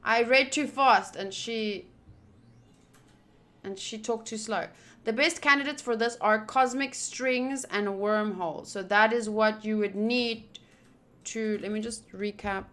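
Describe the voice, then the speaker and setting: This is a woman playing through a visual novel for viewers. Her voice sits fairly high and has a bright, forward edge. She reads the text with expression and slips into informal, wry commentary. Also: measured pace. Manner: expressive reading, breaking off into wry informal asides. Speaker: gaming video host. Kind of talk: visual novel playthrough, reading text aloud with casual commentary.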